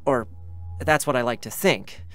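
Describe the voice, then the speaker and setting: A man's voice: medium pitch, a little slow, faint music behind, lightly acted. A man playing an earnest, sensitive, boyish young male android scout in a dramatic game reading. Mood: earnest, wistful. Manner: earnest, youthful, expressive.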